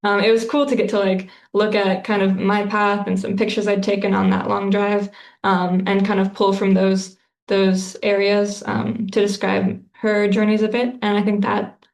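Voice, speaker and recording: A woman's voice: medium pitch, level tone, normal speed, gravelly, echoey room. A woman author answering interview questions in a casual, thoughtful way, with lots of fillers and restarts.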